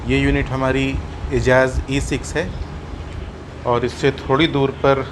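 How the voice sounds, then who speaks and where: A man's voice: mid-range voice, flat delivery, slow, background noise. A man with an Indian accent explaining a hands-on product demonstration step by step, repeating his points. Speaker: host of a motorcycle gear tutorial.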